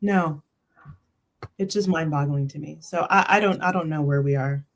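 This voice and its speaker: Woman, low register, conversational panelist, emphatic, voices her own thoughts like dialogue. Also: normal speed. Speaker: panelist on a spiritual talk livestream. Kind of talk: casual panel chat.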